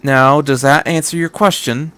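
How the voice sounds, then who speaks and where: A man's voice: fairly high pitch, fairly even intonation, normal speed, pushed and bright, clean tone, no echo. A man voicing a detached female scientist in a story, with a clinical, practiced manner.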